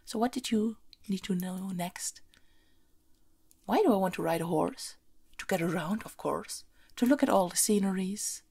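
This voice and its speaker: A woman playing a haughty, bossy but playful young princess in an ASMR roleplay, soft and breathy, often slipping into whispers. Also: mid-range voice, normal speed. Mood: haughty, teasing. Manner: imperious, teasing, repeats phrases insistently.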